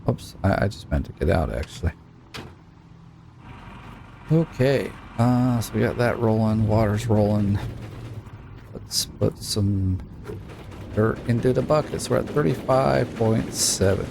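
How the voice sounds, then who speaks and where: A low-voiced man with a gravelly, creaky tone talks his way through a gameplay session in a loose, chatty way. He rambles, trails off and grumbles at the game, and a steady hiss of noise sits under his voice throughout the recording. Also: unhurried, long pauses. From Utah. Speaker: gaming YouTuber. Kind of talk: casual let's-play commentary.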